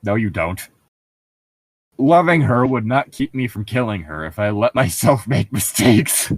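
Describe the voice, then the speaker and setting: Animated man bantering and teasing. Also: lowish voice, husky, no room sound. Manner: teasing, sarcastic, quick to laugh. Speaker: co-host of a comedic book-reading show. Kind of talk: casual banter and mocking read-aloud.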